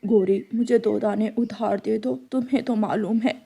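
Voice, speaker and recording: A woman voicing a gentle, worried, protective father bird with an earnest manner in a cartoon story. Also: mid-range voice, steady tone, moderate pace, gravelly, slightly rough. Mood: troubled.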